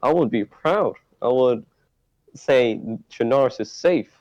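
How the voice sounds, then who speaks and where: A man answering as a guest on a roleplay talk show, speaking haltingly and searching for words. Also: mid-range voice, animated, a little mellow. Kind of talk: in-character roleplay interview. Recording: muffled lo-fi mic.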